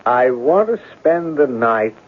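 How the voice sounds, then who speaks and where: Inquisitive writer, thin old radio sound. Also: male voice, mid-range voice, sing-song. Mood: brooding, suspenseful. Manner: probing, deliberate, articulate. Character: inquisitive, romantic male writer investigating mysterious deaths. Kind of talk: 1970s radio drama acting and narration. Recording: noisy, narrow-band old radio broadcast.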